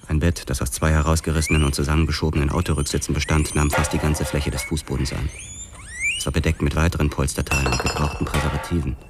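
An actor playing a brooding, terse young police detective who narrates a noir crime drama in a measured, sombre way. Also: male voice, a bass voice. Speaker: first-person narrator.

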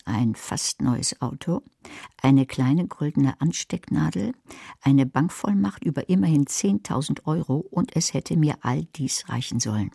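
A woman's voice: very deep voice, gravelly, warm tone, husky, dry recording, exaggerated voice. A woman narrating a radio play as a calculating, wry character, with dry, sardonic delivery.

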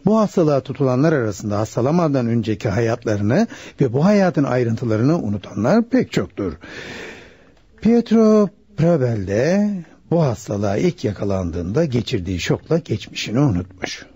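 A man acting a calm, knowing doctor who explains things in a measured way in a radio play. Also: medium pitch, breathy edge, muffled recording. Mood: calm, explanatory. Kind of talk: Turkish radio theatre acting.

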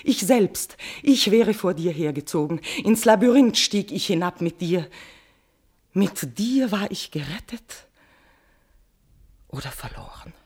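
Lovesick tragic queen, medium pitch, declamatory verse, tormented passion, whispers and outbursts, classical radio drama. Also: animated, unhurried, a little mellow. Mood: rapturous, passionate. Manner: impassioned declamation, sudden drops to breathy whispers and swelling outbursts.

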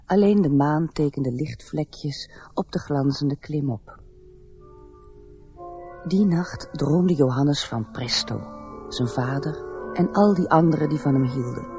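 A woman narrating a radio play fairy tale as a gentle, reflective storyteller with a husky, measured voice. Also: sits low, faint music behind. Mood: sombre.

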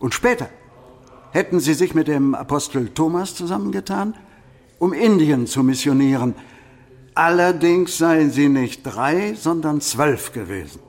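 An actor playing a learned medieval canon in a radio play, lecturing in a pedantic, deliberate manner. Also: male voice, fairly high pitch, lively intonation. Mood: pedantic, pondering. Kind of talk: German radio play acting.

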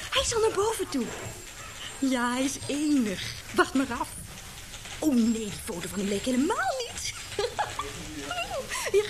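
A woman playing a chatty, eager young newspaper receptionist in a radio play. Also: high voice. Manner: polite on calls, gossipy with her friend. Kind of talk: radio drama acting. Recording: noisy old radio play recording.

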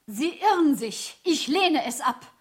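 A woman's voice: high voice, big pitch swings, slow, heavily acted. An actress playing an anxious, indignant young woman being blackmailed, agitated and melodramatic, in a 1970s radio play. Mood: anxious, defiant. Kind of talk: 1970s German radio drama acting.

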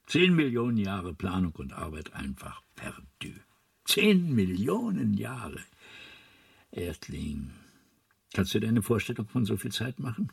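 World-weary old alien, radio play, gravelly, rueful, pitch wanders a lot. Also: male voice, very animated, a little slow, no echo, clean studio sound, heavily acted. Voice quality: husky, creaky. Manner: world-weary, gently condescending. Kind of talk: German radio play acting.

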